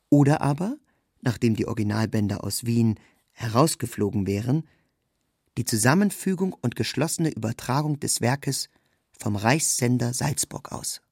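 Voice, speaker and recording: Guy narrating a literary text, bit husky, formal and measured, no room sound. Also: mid-range voice, a little mellow, no room sound, studio quality. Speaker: narrator, reader.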